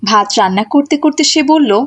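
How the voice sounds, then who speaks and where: A woman's voice: high-pitched, lively intonation, normal speed. A woman giving scripted narration for a story as its narrator.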